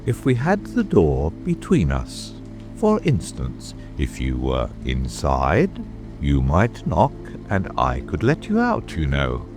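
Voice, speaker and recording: A man playing a solemn, stolid, dim frog footman with drawn-out speech in a storybook dramatic reading. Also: rumbling low, huge pitch swings, music in the background, full character voice. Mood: stolid, unbothered. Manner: slow, drawn-out, solemn delivery.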